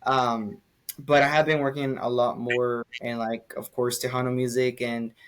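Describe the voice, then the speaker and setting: A man's voice: mid-range voice, little pitch movement, normal speed, clear, resonant tone. A young man, a singer, chatting casually as a podcast guest, rambling with many fillers. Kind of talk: casual interview chat.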